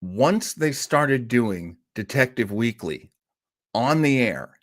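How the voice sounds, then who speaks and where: Male host, mid-pitched and very animated, informal storyteller with theatrical emphasis. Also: takes it slowly. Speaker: host presenting old-time radio shows.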